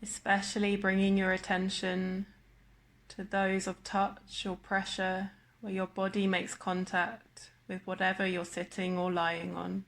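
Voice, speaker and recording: A woman guiding a body scan meditation in a slow, measured manner with long pauses. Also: mid-range voice, completely flat delivery, fairly bright tone. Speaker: meditation guide.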